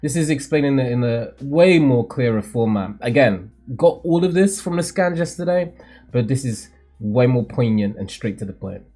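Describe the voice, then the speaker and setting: A man hosting a manga read-along, giving casual, animated reaction commentary in a slangy, jokey way. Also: mid-range voice, moderate pace, faint music behind.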